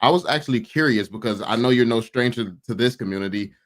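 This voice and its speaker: A man asking questions in a casual, conversational way, with tentative, halting phrasing. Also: mid-range voice.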